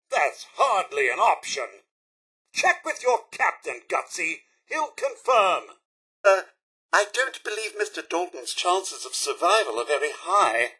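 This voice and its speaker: An actor playing a fussy, proper robot butler in a video game, with a British accent, a raspy voice and a formal, prim, theatrical delivery. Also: male voice, sits high, sharp tone, heavily acted. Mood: outraged, then stern, then courteous, measured. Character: fussy, proper male robot butler, polite, easily indignant. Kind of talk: video game character voice lines.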